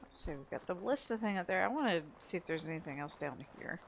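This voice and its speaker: A woman's voice: on the low side, animated, quick pace, vocal fry, a little hiss or hum. A woman giving casual video game commentary, thinking aloud as she plays.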